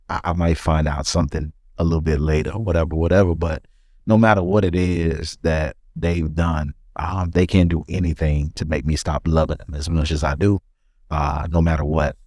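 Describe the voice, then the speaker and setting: A middle-aged man with a deep voice, talking earnestly in a casual podcast conversation and often repeating words as he goes. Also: darkish tone, no room sound, studio quality. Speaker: podcast co-host.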